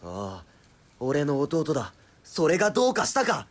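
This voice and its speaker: A man's voice: on the high side, wildly swooping pitch, normal speed. An actor playing a captured military policeman in an audio drama, defiant but cornered, blustering and grudging.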